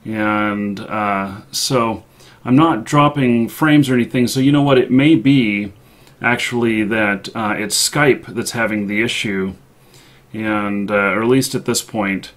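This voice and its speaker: A man hosting a live stream in an unscripted, chatty way, speaking haltingly with long pauses. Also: lowish voice, fairly bright tone. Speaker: live show host.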